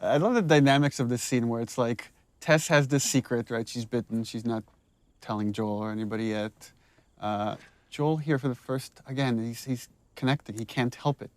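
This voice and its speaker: A man with a medium-pitched voice talks as a game director in a commentary track. He is conversational and analytical, working through his thoughts aloud. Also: fairly even intonation, moderate pace, no echo. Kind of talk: unscripted cutscene commentary, conversational.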